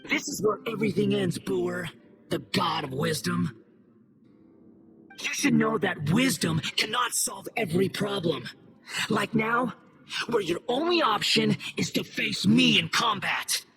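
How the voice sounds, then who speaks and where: Sneering, power-hungry young god, swooping and gravelly. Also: male voice, high-pitched, very animated, unhurried, exaggerated voice. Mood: scornful, triumphant. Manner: theatrical, sneering, grandiose. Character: arrogant, scornful newborn male god, grandiose and power-hungry. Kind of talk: English video game cutscene voice acting. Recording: music playing behind the voice.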